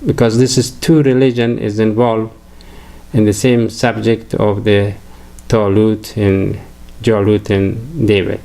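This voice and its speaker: An older man from Afghanistan with an Afghan accent, a native Farsi speaker, giving a halting religious lecture full of fillers and restarts. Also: on the low side, level tone, creaky, warm tone. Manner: hesitant, many fillers and restarts.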